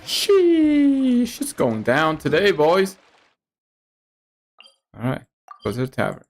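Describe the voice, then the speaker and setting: A woman livestreaming a game with casual reactions. Her voice is low for a woman and very slow, with huge swings in pitch. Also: wildly swooping pitch, a little mellow. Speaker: streamer.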